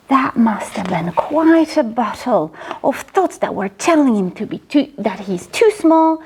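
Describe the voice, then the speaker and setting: A woman's voice: medium pitch, darkish tone. A woman reading a children's storybook aloud dramatically, speaking directly to young listeners. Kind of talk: expressive storybook read-aloud.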